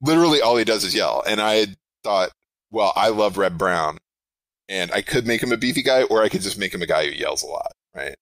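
A middle-aged man with a big, projecting voice, pitched a little low, with a bright, forceful edge. He talks in a rambling, animated way, often restarting his sentences. The sound is close and dry. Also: brisk, no room sound, low-quality recording. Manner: rambling, self-interrupting, animated. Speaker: game designer guest. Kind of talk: podcast interview.

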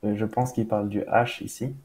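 A man's voice: lowish voice, level tone, brisk, darkish tone. A French-speaking man patiently walking through a crypto wallet demo on a video call, often saying "voilà". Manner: patient, explanatory, with frequent "voilà". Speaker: crypto educator demonstrating a wallet. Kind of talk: screen-share walkthrough in a live interview. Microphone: webcam video call.

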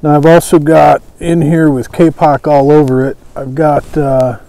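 A man in his thirties giving a casual, unhurried, folksy show-and-tell as an instructor. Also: fairly high pitch, level tone, mellow, no echo, rough recording. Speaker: bushcraft instructor and presenter. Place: outdoors at a table on a lawn by woods.

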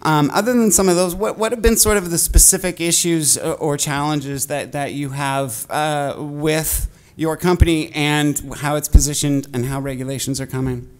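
A man's voice: a little high, normal speed, hardly any pauses. A man moderating a panel discussion on a conference stage, asking questions with frequent fillers. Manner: relaxed, filler-heavy questioning.

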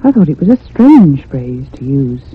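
An actress playing a curious, insistent convalescent lady who narrates in a measured literary way in a radio drama. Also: a bass voice, big pitch swings, slightly rough, heavily acted. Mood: reflective, measured. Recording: muffled, phone-like old radio sound.

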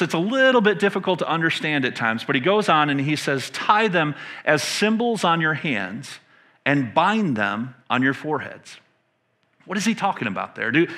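Middle-aged American man, higher-pitched forceful voice, preaching conversationally from a stage, emphatic with rhetorical questions. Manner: emphatic, pauses to pose questions to listeners. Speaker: pastor. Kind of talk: conversational sermon teaching. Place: indoor stage in a church room.

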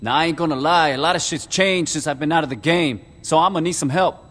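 A man's voice: a little high, quick pace, big-room sound, heavy background noise, lightly acted. A man voicing a tough, blunt, commanding street gang boss in a video game, with terse, profane street talk. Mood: commanding, rallying. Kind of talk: video game cutscene acting.

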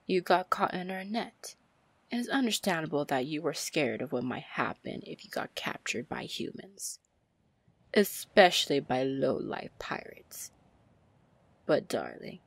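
A woman playing a confident, teasing female pirate captain in an ASMR fantasy roleplay, flirtatious and commanding, with a husky, gravelly voice. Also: low voice, no echo, full character voice. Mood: sly, coaxing. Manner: slow, drawling, flirtatious terms of endearment.

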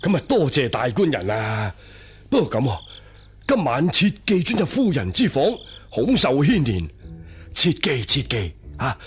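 A man playing a solemn, high-minded Taoist priest in a radio drama, with grave, stately theatrical delivery. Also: wildly swooping pitch, measured pace, slight background noise. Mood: lofty, solemn. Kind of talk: Cantonese radio drama acting.

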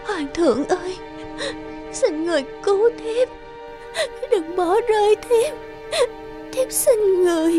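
Actress as frail cartoon concubine, very high, weepy, begging. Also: female voice, expressive, takes it slowly, long pauses, music in the background, exaggerated voice. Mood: tearful pleading. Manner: weak, tearful pleading. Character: beautiful ailing young royal concubine, pleading.

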